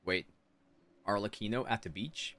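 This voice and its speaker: A man's voice: fairly low pitch, normal speed, a bit bright, slight background noise. A man giving casual live game commentary, musing aloud and joking in a chatty way. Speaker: game streamer. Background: game music.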